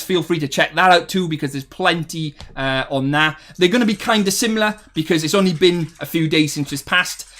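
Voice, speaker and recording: A man's voice: fairly high pitch, a bit bright. A young man hosting a live stream, chatting casually with viewers and rambling with lots of ums, perking up when people join. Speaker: streamer hosting a game development talk. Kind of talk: casual live stream chat, reading chat messages aloud.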